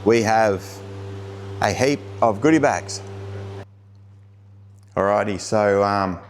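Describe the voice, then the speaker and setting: Low-voiced Australian man, casual car vlogger. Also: slow. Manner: laid-back, plain-spoken, colloquial with mild swearing. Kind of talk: casual unscripted vlog talk. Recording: clear background noise of cars.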